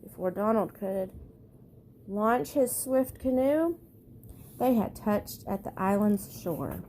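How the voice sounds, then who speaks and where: A woman reads a children's picture book aloud as a bedtime story. Her voice sits at a medium pitch. There is faint noise in the background. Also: sing-song, slow pace, dark tone. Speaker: storybook reader.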